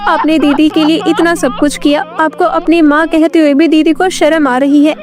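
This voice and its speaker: Woman as very high plaintive little sister. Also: little pitch movement, normal speed, darkish tone, soft background music, lightly acted. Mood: tearful, indignant. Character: hurt, indignant younger sister, a girl.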